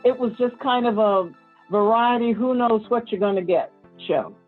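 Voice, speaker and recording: A woman's voice: on the high side, normal speed, phone-quality sound, loud music behind the voice. A woman in a casual interview, reminiscing about her television work and chuckling at times. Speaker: interviewed former TV co-host and actor.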